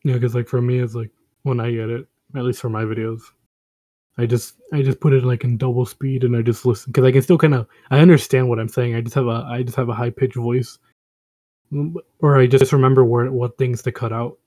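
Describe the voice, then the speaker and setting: A man's voice: medium pitch, steady tone, brisk, mellow, dry recording. A young man co-hosting a casual podcast, talking bluntly in slang and stumbling over restarts. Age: young adult. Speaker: podcast co-host. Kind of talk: casual chat among friends.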